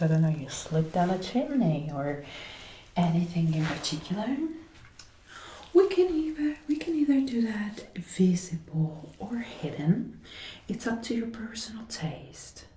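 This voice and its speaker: A woman doing a gentle, attentive ASMR roleplay as an outfit designer, soft-spoken and often whispering, breathy and close to a binaural mic. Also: fairly low pitch, reverberant, a little hiss or hum. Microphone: close binaural microphone, ear to ear.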